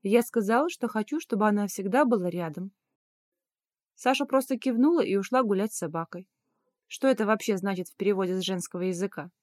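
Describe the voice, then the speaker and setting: A woman's voice: mid-range voice, fairly quick, a little mellow, dry recording, clean studio sound, lightly acted. A woman voicing a nervous, lovesick young prince in an audiobook, blurting out his lines. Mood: bewildered. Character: agitated, insecure young male prince in love.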